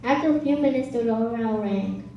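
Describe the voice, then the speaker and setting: A woman's voice: on the high side, normal speed, highs cut off. A woman reading a scripted children's story as narrator. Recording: echoey room, distant mic, faint noise.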